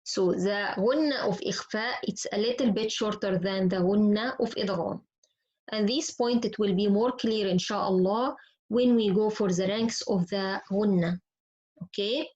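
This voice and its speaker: A woman's voice: mid-range voice, moderate pace, a little mellow. A woman with an Arabic accent, a native Arabic speaker, teaching recitation rules step by step, repeating points and asking questions that she answers herself. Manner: instructive, repetitive, poses questions then answers. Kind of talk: explanatory lesson with recited examples. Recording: dull, lo-fi class audio.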